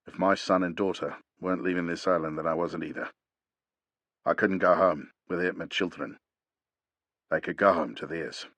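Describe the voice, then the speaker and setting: A man voicing a stoic old mercenary warrior who speaks low, hushed and grim. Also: fairly even intonation, moderate pace, long pauses, dry recording. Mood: grieving. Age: older.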